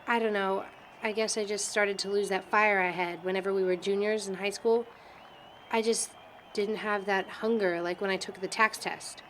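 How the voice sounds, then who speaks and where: A woman's voice: medium pitch, fairly even intonation, normal speed, a bit bright, a little hiss or hum, natural voice, poor audio. A young woman playing a chatty college student in an indie film, with a teasing, informal manner. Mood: candid, unsure. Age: young adult. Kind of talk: naturalistic amateur film dialogue.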